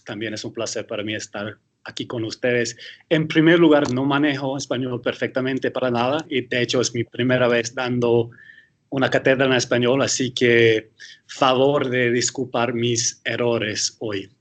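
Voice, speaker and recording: A man's voice: medium pitch, steady tone, moderate pace. Hesitant American man, speaking Spanish. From United States. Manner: hesitant, self-correcting, with frequent restarts. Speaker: attorney, guest lecturer. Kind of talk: interview answers.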